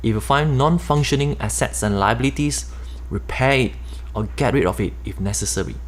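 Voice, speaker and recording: A middle-aged man with a Malaysian accent reading his own nonfiction book aloud in a steady, explanatory way. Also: mid-range voice, brisk. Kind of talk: nonfiction audiobook narration.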